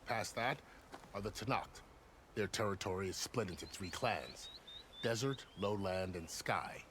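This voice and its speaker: An actor playing a seasoned, plain-spoken soldier in a fantasy video game, explaining things in a wry, conversational way. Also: male voice, lowish voice, animated, a little slow, fairly bright tone, slight background noise. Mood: informative, wry.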